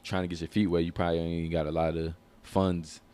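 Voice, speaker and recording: A man's voice: little pitch movement, fairly quick, mellow, clean tone. A man, answering interview questions about his music in a laid-back, slangy way. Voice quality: deep voice. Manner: laid-back, slangy, loose phrasing with many fillers. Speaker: rapper and musician.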